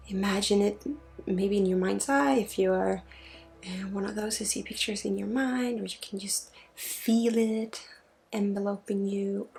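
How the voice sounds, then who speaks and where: A woman from Sweden, a native Swedish speaker, guiding an ASMR energy healing session in a breathy, halting voice that often drops into a whisper. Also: mid-range voice. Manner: hushed, halting, intimate direct address. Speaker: spiritual healer and ASMR host.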